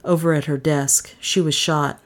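A restrained man played by a woman narrator in a lowish voice. He speaks dryly and calmly, keeping subdued in a suspense storybook. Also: natural voice. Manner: slow, dry, subdued. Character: calm, dry, restrained man under pressure.

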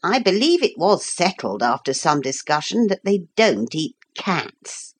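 Brief: grand old man, low and measured, lecturing everyone, as a woman narrator plays him. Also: sing-song, a little hiss or hum. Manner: stately, formal, slow and lecturing. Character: pompous old man, ark keeper, fussy and grand. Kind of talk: audiobook character voice.

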